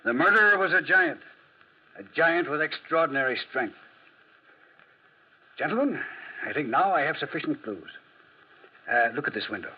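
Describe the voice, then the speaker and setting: A man playing a clever, self-assured French detective in a 1940s radio drama, with a theatrical, drily ironic, deliberate delivery. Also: fairly high pitch, animated, slightly rough. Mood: self-assured, emphatic. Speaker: actor playing detective and narrator. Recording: muffled 1940s radio recording.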